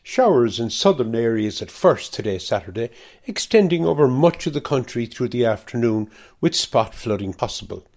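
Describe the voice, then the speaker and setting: A man's voice: medium pitch, very animated, moderate pace, darkish tone. A man reading a scripted weather forecast on community radio.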